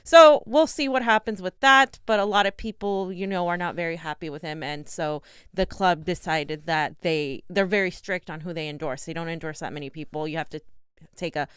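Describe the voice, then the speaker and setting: A woman's voice: on the low side, normal speed, a bit bright, smooth, no room sound, studio quality. A woman co-hosting a live talk stream, teasing her partner and plainly explaining background for viewers. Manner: teasing and matter-of-fact, explaining context plainly. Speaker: co-host. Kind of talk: casual live-stream chat.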